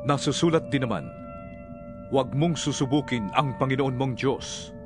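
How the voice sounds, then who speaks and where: Firm calm male teacher, played by a man, declaring, mid voice, music behind. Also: medium pitch, normal speed, lightly acted.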